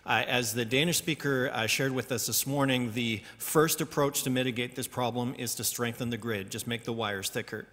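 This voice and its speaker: A man answers questions from the floor at a conference, explaining in a measured way with the odd hesitation. His voice sits in a middle range. The sound carries the long reverb of a large hall. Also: mid-range voice, steady tone, a bit bright. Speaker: conference panel speaker. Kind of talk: answering audience questions on a panel.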